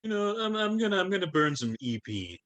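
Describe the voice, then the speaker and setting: A man's voice: high-pitched, sing-song, a little fast, a bit bright. A man playing in a tabletop roleplaying game, speaking hesitantly in casual talk and stammering through restarts before he gets to his point. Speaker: player.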